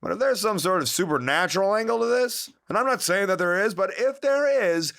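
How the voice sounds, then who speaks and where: An actor playing a world-weary, slangy police detective with a brusque, wisecracking manner in a pulpy audio drama. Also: male voice, high voice, expressive, fairly quick, a bit bright. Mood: skeptical, weary.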